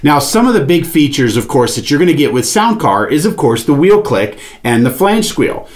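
A man's voice: fairly high pitch, big pitch swings, normal speed. A man in his forties giving an instructional product demonstration, explaining things step by step. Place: small indoor studio with padded panel wall, seated at a table.

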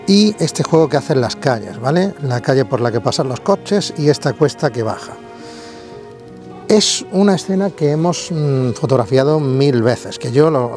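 A man's voice: medium pitch, a little mellow. A man giving a casual photography lesson to viewers while standing on a city street, speaking directly to the camera and talking his way through his ideas. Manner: explanatory, addresses viewers directly, frequent "vale" and fillers. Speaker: photography vlogger. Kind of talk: casual instructional vlog on location.

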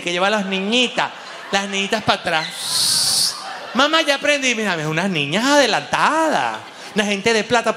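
High-pitched Venezuelan stand-up comic, man. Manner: animated, colloquial, cursing freely. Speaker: stand-up comedian and show host. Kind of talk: stand-up comedy with audience banter. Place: on stage in a dark theater with audience. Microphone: handheld microphone. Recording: echoey theater hall, audible background noise.

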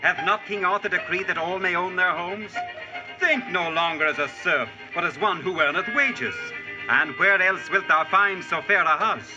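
An actor playing a pushy medieval house salesman in a radio play, declaiming his pitch in archaic English. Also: moderate pace, close to an everyday voice. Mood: eager, persuasive. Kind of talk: 1940s radio drama acting. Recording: muffled vintage radio, music underneath.

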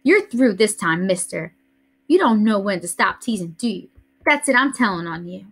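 A woman voicing a frightened little girl who scolds and threatens to tell on her brother, with a shrill, whiny voice. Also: very animated, moderate pace, a bit bright, slightly rough, heavily acted. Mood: scolding.

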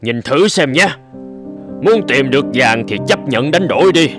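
A man's voice: mid-range voice, lively intonation, unhurried, close to an everyday voice. A man playing a menacing, mocking male tavern regular who taunts in a cartoon. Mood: taunting. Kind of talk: cartoon dub acting. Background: music.

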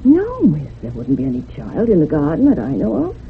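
Housekeeper role: rustic widow, evasive yet respectful, animated intonation, tinny narrow-band radio drama sound. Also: female voice, sits low, brisk, gravelly, breathy edge, exaggerated voice. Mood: guarded, evasive. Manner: plain rustic phrasing, evasive. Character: guarded village housekeeper, deferential, evasive widow. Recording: noisy, phone-like old radio sound.

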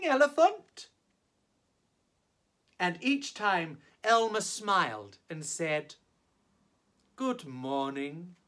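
A man performing as a drag storyteller, reading a children's picture book theatrically with exaggerated emphasis and drawn-out words. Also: sits high, pushed and bright. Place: small indoor set, on camera.

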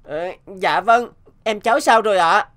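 A woman voicing a gentle, earnest young boy, a protective older brother, in a cartoon dub. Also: mid-range voice, normal speed, lightly acted. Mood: pleading, worried. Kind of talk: dubbed cartoon dialogue.

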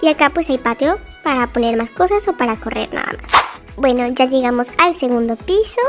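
A woman with a high, light voice narrates a house tour in a game. She talks in a chatty, gushing way, sprinkling in fillers as she goes. Soft music plays faintly underneath. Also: expressive, brisk.

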